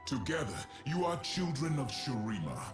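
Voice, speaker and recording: An actor playing a proud, ancient emperor in a fantasy game, speaking in grand, formal, commanding declamation. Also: male voice, medium pitch, very animated, moderate pace, lightly acted. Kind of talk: theatrical fantasy game voice acting. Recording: music behind the voice.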